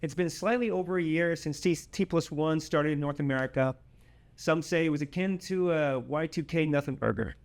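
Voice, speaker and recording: Formal man with a higher-pitched voice. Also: normal speed. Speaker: company co-presenter. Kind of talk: scripted corporate announcement.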